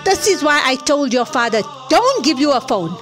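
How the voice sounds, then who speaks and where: A scolding, exasperated mother played by a woman in a radio drama. Her voice is high and swings widely in pitch as she lectures. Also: sing-song, normal speed, a bit bright, soft background music. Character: stern scolding middle-aged mother. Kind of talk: audio drama acting.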